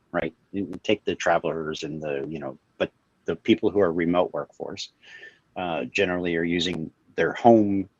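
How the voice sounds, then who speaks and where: A man's voice: low-pitched, breathy edge, dry recording. A man who runs an IT company, explaining at length in a casual panel discussion over a video call. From Virginia, USA. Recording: dull lo-fi online call audio.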